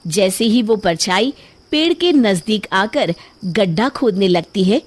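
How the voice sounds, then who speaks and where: A woman narrating a children's cartoon story in a steady storyteller's manner. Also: mid-range voice, a little slow.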